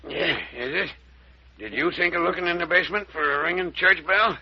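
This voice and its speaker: A man playing a blustery, kindly country doctor with a husky voice and rustic, exclaiming delivery in an old-time radio serial. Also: sits high, fairly quick. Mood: wry, grumbling. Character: folksy, blustery male country doctor, gruff but kindly. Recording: dull lo-fi old radio recording.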